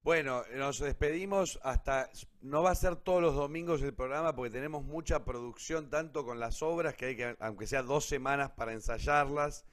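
An Argentine man speaks as a radio host in a middle-pitched voice. His delivery is casual and informal, with a grateful manner, as in a live sign-off. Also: moderate pace, few pauses, fairly bright tone. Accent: Argentine Rioplatense.

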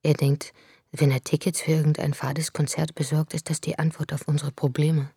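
A weary woman writer in a radio drama, turned inward and quietly searching. Her low, very husky voice stays hushed and close, almost a whisper. The sound is completely dry, with no room around it. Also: flat delivery, normal speed, dry recording, full character voice. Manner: hushed, near-whispered inner monologue. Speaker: main character and narrator of a radio drama. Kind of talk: radio drama with inner monologue.

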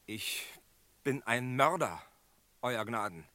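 Stern, slow, forceful cowboy. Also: male voice, medium pitch, expressive. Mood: grim. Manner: insistent, solemn, declamatory. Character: Stern, stubborn cowboy demanding his own death sentence. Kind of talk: mid-century German radio play acting.

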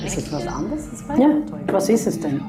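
Bitter low-voiced woman, echoey room. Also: sing-song, quick pace. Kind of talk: personal interview testimony. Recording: echoey interview under music.

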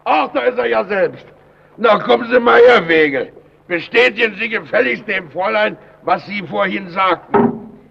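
An actor playing a gruff, suspicious lighthouse keeper in a German radio drama, brusque, insistent and sarcastic. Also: male voice, sits high, moderate pace, slight background noise. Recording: dull old radio recording, long reverb.

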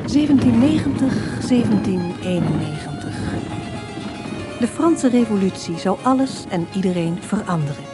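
A woman's voice: medium pitch, expressive, moderate pace, music in the background, lightly acted. An actress playing a wry female storyteller, narrating a radio drama in a theatrical manner. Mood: vivid, disgusted relish.

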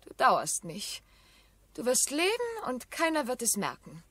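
An actress playing a vain, lively, sharp-tongued young aristocratic woman with a teasing, haughty manner in a 1970s radio drama. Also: high voice, a little slow, husky, no room sound, heavily acted. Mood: cutting.

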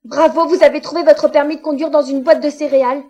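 A woman playing a sharp-tongued young female sidekick in a French science-fiction audio drama, quick and sarcastic. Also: high-pitched, steady tone, very noisy, close to an everyday voice.

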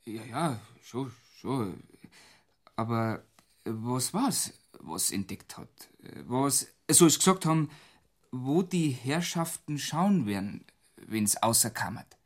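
An actor playing a dogged but flustered police inspector with a Bavarian accent, hesitant and insistent, in a German radio drama. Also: male voice, medium pitch.

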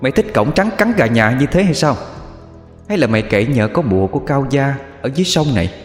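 A man playing a wrathful mother goddess who passes judgment in a commanding, scolding tone. Her pitch rises and falls with force. A long reverb and faint music sit behind. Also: very animated, fairly quick, lightly acted. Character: stern, wrathful mother goddess ruling spirits.

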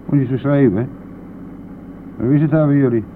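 An actor playing a gruff, impatient, talkative country bus driver in a radio play, brusque and easily exasperated. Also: male voice, mid-range voice, a little fast, close to an everyday voice. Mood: gruff, boastful. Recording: noisy, thin, phone-like old radio recording.

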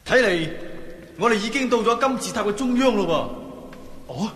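A man's voice: extremely high pitch, animated, normal speed, a bit bright. A man with a Hong Kong accent playing a nervous, questioning desert guide in a radio drama. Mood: nervous, wondering. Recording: echoey cavernous reverb effect.

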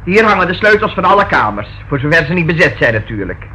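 A sharp, bright-voiced hotel landlady in a radio drama. She is sardonic and evasive, with lively swoops of mock politeness. The old recording carries faint noise. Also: deep voice, fast talker, full character voice, low-quality recording. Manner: sarcastic, defiant, drawling politeness. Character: sardonic, unflustered, evasive female hotel keeper. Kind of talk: radio play acting.

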